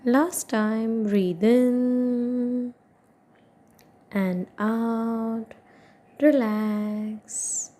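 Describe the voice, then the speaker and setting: Woman doing guided meditation, kinda high voice, super slow, soothing short phrases w long gaps. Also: fairly even intonation, mellow. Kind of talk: guided meditation, yoga nidra narration.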